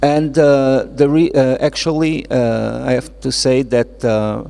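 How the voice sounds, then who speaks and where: Slow, creaky Portuguese man in echoey hall. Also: medium pitch, flat delivery, dark tone. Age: middle-aged. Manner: halting, searching for words. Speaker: author, guest speaker. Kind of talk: reflective public talk at a literary event. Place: indoor event space with reverberant acoustics. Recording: echoey hall with long reverb.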